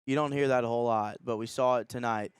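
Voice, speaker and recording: A man works as a play-by-play radio announcer, giving live sports commentary. His voice is crisp and resonant, with an even melody. The sound is dry and close. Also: mid-range voice, quick pace, no room sound.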